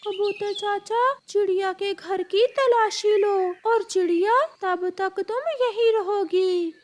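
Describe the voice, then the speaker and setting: A woman's voice: very high-pitched, steady tone, slow pace, darkish tone. A woman voicing an imperious, refined bird queen with a commanding manner in a children's cartoon. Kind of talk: Hindi moral-story cartoon dubbing.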